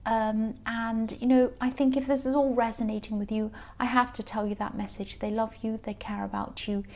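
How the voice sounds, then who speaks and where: Tarot reader, woman, slightly high voice, croaky and tired; rambling, earnest, reassuring, lots of ums and restarts. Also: level tone, moderate pace, a little mellow. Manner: rambling, hesitant, many fillers and restarts. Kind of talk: casual tarot reading to camera.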